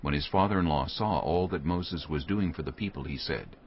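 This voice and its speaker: A man narrating scripture from a script in a measured storytelling manner. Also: a bass voice, highs cut off, a little hiss or hum.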